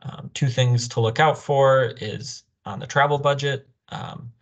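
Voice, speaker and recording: A man's voice: medium pitch, fairly even intonation, measured pace. A young man explaining a program as a presenter in an online orientation, speaking over a video call. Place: indoor room, on a video call. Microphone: video call microphone.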